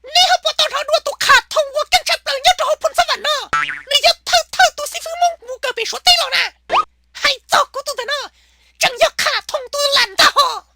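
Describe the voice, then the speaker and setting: A woman voicing an excitable, shrill comic cartoon character with a squeaky, creaky voice, prone to bursting into shouts. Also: quick pace, no echo, faint music behind, exaggerated voice. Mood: agitated, shouting.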